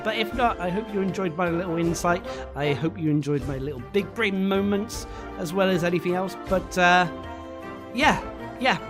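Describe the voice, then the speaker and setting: A man's voice: high-pitched, moderate pace. A man streaming, losing his voice, chatting casually with lots of fillers as he reacts to a game showcase. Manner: rambling, chatty, with filler words and laughs. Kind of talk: casual live commentary. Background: showcase music playing.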